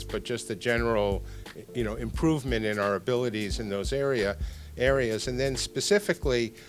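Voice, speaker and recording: Older man explaining technical details. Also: fairly low pitch. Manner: explanatory, repeats words for emphasis. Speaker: speaker designer being interviewed. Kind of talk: technical product interview.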